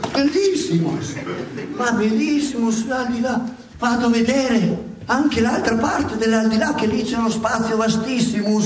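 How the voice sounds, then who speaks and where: High-pitched, pompous comic pope. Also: male voice, unhurried. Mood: delighted, playful. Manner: pompous, repeats phrases, cues audience to echo him. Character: preening, scolding comic Pope Pius XII addressing his flock. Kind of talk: live satirical stage comedy. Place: theatre stage before a live audience. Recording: echoey theatre, clear audience noise.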